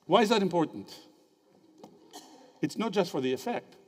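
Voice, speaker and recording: A man from Greece giving a lively, interactive theology lecture and quizzing his audience with quick questions. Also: high-pitched, breathy edge. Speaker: theology speaker at a symposium. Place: lecture hall. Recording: reverberant hall.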